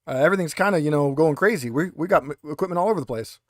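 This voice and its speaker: A man's voice: a little high, racing, dry recording, studio quality, close to an everyday voice. A man voicing an apologetic but firm military supply worker in a tabletop game, hesitant and deferential. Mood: apologetic, harried.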